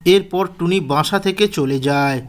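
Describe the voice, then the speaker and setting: A male narrator with a high-pitched, very clean and smooth voice. He reads a scripted storybook tale for a cartoon. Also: moderate pace.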